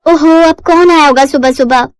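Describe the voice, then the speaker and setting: Haughty young city rival, played by a woman: high, snappish, possessive and dismissive, for cartoon melodrama. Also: moderate pace, dry recording, faint music behind, lightly acted. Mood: irritated.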